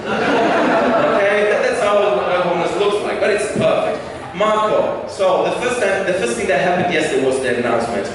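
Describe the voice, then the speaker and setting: A man's voice: sits high, animated, normal speed. Chatty male comic in echoing hall. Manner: chatty, joking, full of fillers and asides to the audience. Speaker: stand-up comedian. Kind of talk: stand-up with crowd work. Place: comedy club stage. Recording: echoey hall, distant mic, noise.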